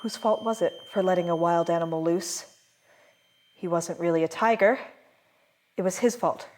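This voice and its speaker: A woman's voice: on the low side, a little mellow, a little hiss or hum, close to an everyday voice. Haunted woman film director confessing as acted by a woman. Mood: guilty, defensive. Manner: halting, reflective, uneasy confessional delivery. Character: guilt-ridden, haunted female film director giving testimony. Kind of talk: dramatic monologue as documentary interview.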